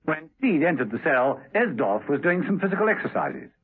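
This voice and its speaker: A man's voice: on the high side, sing-song, a little fast, breathy edge. A man narrating a 1970s radio drama in an urgent, theatrical storytelling style. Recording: narrow 1970s radio recording with music.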